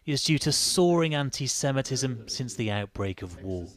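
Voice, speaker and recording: A man giving a measured, serious television news report as the reporter. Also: mid-range voice, animated. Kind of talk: scripted news report and live studio analysis.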